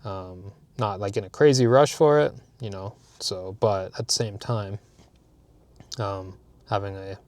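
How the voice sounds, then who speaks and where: A man's voice: fairly low pitch, a little slow, darkish tone, no echo. A man in his thirties from Canada, chatting candidly in a vlog recorded in his parked car, rambling with plenty of fillers and restarts. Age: 30s. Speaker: vlogger. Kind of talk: casual talking-head vlog.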